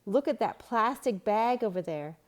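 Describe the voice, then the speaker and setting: A woman acts out an excited young schoolchild in a storybook read-aloud. The voice jumps up high and exclaims, stretching out words with eager energy. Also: moderate pace, lightly acted. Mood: excited, exclaiming.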